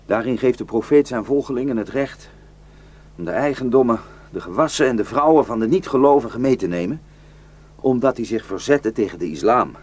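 A man's voice: mid-range voice, expressive, brisk, slightly rough. An actor playing a cynical, taunting Iraqi man in a radio drama, declaiming and mocking. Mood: mocking, lecturing. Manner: mocking, declamatory, swinging from hushed to shouting.

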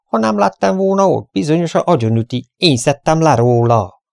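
A man's voice: on the high side, lively intonation, vocal fry, no echo, studio quality, voice pushed up. A man voicing a cool-headed bandit henchman in a western story. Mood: unruffled. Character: cool-headed male bandit henchman answering his boss.

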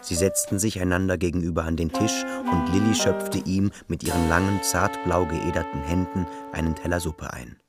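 A man's voice: deep voice, steady tone, moderate pace, hardly any pauses, over loud music. A man reading literary scripted narration as the narrator of a radio drama.

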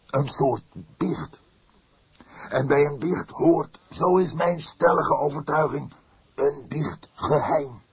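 A fervent, reproachful man of God for an audiobook. The voice is strained upward and very raspy. He weighs each formal phrase with solemn, indignant emphasis. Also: on the high side, slow pace, pitched above the performer's own voice, heavily acted. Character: fierce, pained elderly pastor, indignant and solemn. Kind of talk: audiobook character voice.

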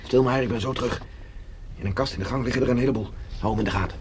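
An actor playing a resolute, protective escaped prisoner in a science fiction radio drama, speaking in terse, reassuring lines. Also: male voice, medium pitch, rapid-fire, lightly acted. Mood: hushed, reassuring. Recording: noisy scene with background ambience.

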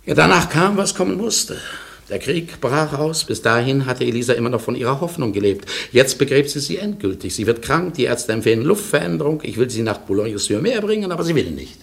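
An actor playing a melancholy husband in a German radio drama, speaking in an emphatic, halting, dramatic way. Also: male voice, mid-range voice, big pitch swings, vocal fry. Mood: grave, agitated. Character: melancholy man narrating his lost love, anxious husband. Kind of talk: German radio drama acting, narration and dialogue.

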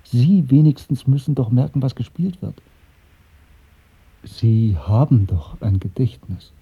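An actor playing an earnest, troubled younger man in a radio drama, speaking intensely and insistently in a husky voice. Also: medium pitch, lively intonation, measured pace, mellow, full character voice. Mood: urgent, troubled. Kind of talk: 1970s German radio drama acting. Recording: muffled, phone-like old radio recording.

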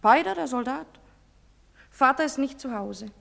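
High-voiced defiant village girl. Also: lively intonation, normal speed, creaky, fairly bright tone, exaggerated voice. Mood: anxious. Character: defiant nineteen-year-old village girl, engaged, wary. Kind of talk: German stage drama acting. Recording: echoey theatre-style hall reverb.

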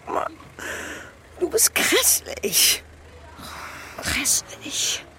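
A woman's voice: high-pitched, big pitch swings, extremely slow, sharp tone, slight background noise, heavily acted. An actress playing a jaded, volatile woman of the 1920s Paris underworld in a radio drama, languid, mocking and theatrical. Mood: scornful, agitated.

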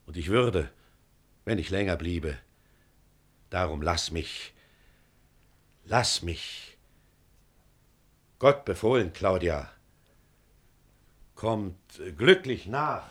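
A man's voice: low voice, expressive, drawn-out words, long pauses, a bit bright, husky, exaggerated voice. An actor playing a stern, suspicious middle-aged father, proud and declamatory, in a classical radio drama. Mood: brooding anger. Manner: declamatory, severe. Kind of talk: classical stage-style radio drama acting.

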